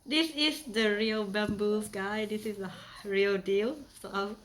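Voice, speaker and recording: A woman's voice: medium pitch, fairly even intonation, measured pace, a little hiss or hum. A young woman from Thailand with a Thai accent, chatting playfully through a casual how-to demonstration with many fillers. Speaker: vlogger.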